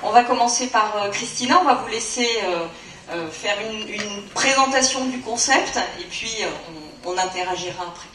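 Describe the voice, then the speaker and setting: A woman's voice: medium pitch, moderate pace, a bit bright, slight background noise. A woman moderating a conference panel and introducing the speakers, hesitating with many fillers. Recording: echoey hall, mic from far off.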